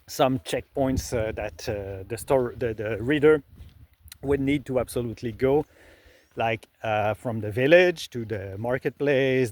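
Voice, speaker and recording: Slow, hesitant French-accented man. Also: medium pitch, darkish tone. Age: middle-aged. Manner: hesitant, many fillers and restarts. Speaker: creator sharing his process. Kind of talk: casual explanatory talk.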